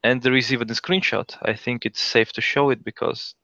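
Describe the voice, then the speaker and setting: Man presenting software in a dull lo-fi recording. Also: medium pitch, fairly even intonation, fairly quick, dry recording. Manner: reads out listings, thinks aloud. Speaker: podcast host. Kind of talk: casual tech show chat. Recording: dull lo-fi sound.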